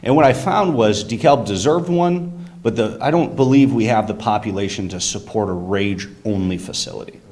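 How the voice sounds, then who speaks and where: A young man with a loud voice pitching his new business to a city council in an eager, detailed way. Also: moderate pace. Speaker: business owner presenting to a council. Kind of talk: public comment at a meeting. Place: council chamber.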